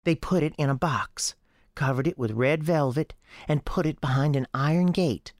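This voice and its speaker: A woman voicing a young girl with a high girlish voice and a folksy, childlike storytelling manner in an audio drama. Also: normal speed, a little mellow, no echo, clean studio sound, close to an everyday voice. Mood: earnest. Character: young Southern girl Sarah, earnest, defiant, chatty. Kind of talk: acted audio drama dialogue.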